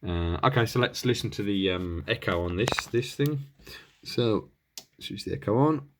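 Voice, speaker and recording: A man's voice: lowish voice, expressive, moderate pace, background noise. A man giving a casual, unscripted hands-on equipment demonstration as a hobbyist, hesitant and rambling as he thinks aloud. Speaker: hobbyist presenter reviewing radio equipment.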